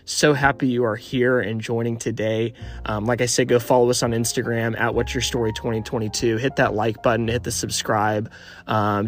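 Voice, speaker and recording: A young man hosts a podcast with eager energy, stacking up excited phrases. He welcomes listeners and prompts his guest warmly. His voice sits at a middling pitch. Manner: enthusiastic, repetitive hype phrases. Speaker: podcast host. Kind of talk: upbeat podcast intro and interview.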